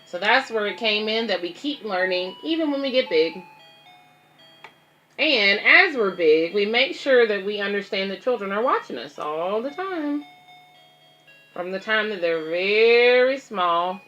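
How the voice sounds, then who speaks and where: A woman with a bright, forward voice reads a children's book aloud and talks directly to young viewers and their parents. She explains things in simple, casual words at a medium pitch, with music clearly present in the recording. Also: animated, measured pace, muffled recording. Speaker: babysitter and children's story reader.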